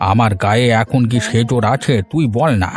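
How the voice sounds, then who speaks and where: A man's voice: medium pitch, moderate pace, natural voice. A man voicing a weary, pleading old village fisherman in a cartoon moral story. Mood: pleading, reluctant. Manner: pleading, reluctant.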